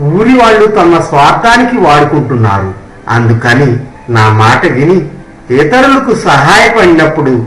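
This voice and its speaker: A man playing a kindly village temple priest in a moral story, giving measured, fatherly, preachy advice. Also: on the high side, fairly bright tone. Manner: measured, preachy, addresses listener as son. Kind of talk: scripted moral story dialogue. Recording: roomy echo on the voice.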